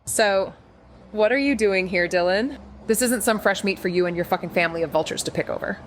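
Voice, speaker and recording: Casting: woman as a sharp-tongued young sleuth, sardonic and blunt, middle register, noir podcast drama over faint ambient noise. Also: mid-range voice, brisk, close to an everyday voice. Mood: angry, confrontational. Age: young adult. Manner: sardonic, blunt, rambling, self-interrupting. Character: Amy, fierce sarcastic foul-mouthed young female detective. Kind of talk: first-person noir audio drama narration.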